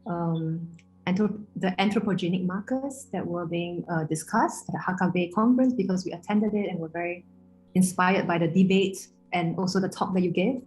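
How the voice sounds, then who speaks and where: A woman's voice: lowish voice, normal speed, a little mellow, soft background music, poor audio. A woman from Singapore introducing a student project, friendly and hesitant with many fillers. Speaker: student interviewer. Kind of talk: casual interview introduction.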